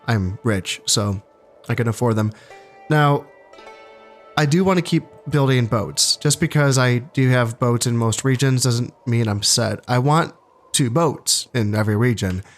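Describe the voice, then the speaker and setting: A man hosting a strategy game playthrough, talking casually and thinking aloud, congested from allergies and a bit low on energy. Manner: relaxed, thinking aloud with fillers and restarts. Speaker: gaming channel host.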